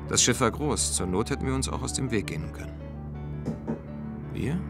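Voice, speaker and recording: A man's voice: mid-range voice, lively intonation, moderate pace, gravelly, loud music behind the voice. An actor playing a world-weary, lonely spaceman, telling his story in a radio play in a dry, reflective manner. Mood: wry, reflective. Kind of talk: first-person radio play narration.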